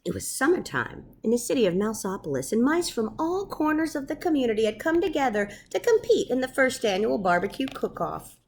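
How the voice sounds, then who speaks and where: Woman storyteller, high voice, very lively pitch, dramatic, expressive read-aloud narration. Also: a little fast, hardly any pauses, husky. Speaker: storybook reader.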